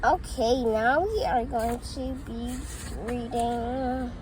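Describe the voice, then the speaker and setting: Woman, high voice, rhymes and tongue twisters read aloud, very slow pace, halting, slight background noise. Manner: halting, stumbles over words. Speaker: reader of children's poems.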